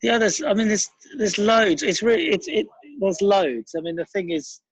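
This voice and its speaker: A man's voice: high-pitched, big pitch swings, moderate pace, slightly rough, no room sound, rough recording. A man chatting casually as a guest in an interview.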